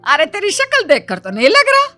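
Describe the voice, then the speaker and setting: A woman's voice: extremely high pitch, huge pitch swings, fairly quick, sharp tone, soft background music, exaggerated voice. A woman playing a doting, nagging elderly Indian grandmother in a family cartoon story, fussing and exclaiming. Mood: scolding, complaining. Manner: scolding, fussing, exaggerated exclamations.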